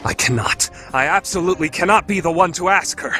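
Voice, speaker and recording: A man playing a proud, overthinking high school student council president, grave and calculating in an anime radio play. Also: fairly quick, pushed and bright. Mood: grave scheming. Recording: background music under the voice.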